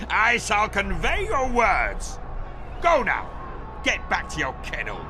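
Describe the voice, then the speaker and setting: An actor in a video game playing a mocking, gloating master of a fighting pit, with a sneering, theatrical, taunting delivery. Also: male voice, high-pitched, huge pitch swings, takes it slowly, over music, full character voice. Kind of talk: dramatic video game voice acting.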